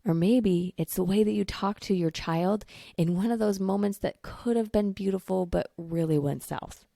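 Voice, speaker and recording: A woman's voice: medium pitch, moderate pace, gravelly, dry recording, studio quality. A woman hosting a faith-based coaching podcast, her voice still recovering from lost voice, speaking earnestly and encouragingly. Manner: earnest, warm encouragement with soft laughs. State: voice recovering from laryngitis. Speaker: podcast host, life coach.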